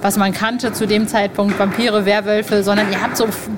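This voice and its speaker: A woman's voice: medium pitch, little pitch movement, moderate pace. A middle-aged woman hosting a casual interview, chatting in an enthusiastic, slangy way. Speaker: podcast host, interviewer. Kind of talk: casual, informal interview chat. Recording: clearly noisy background.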